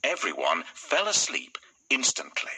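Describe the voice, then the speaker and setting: A man's voice: mid-range voice, wildly swooping pitch, a little slow, bright, forceful tone, breathy edge, low-quality recording. A man reading a fairy tale as a scripted storybook narration.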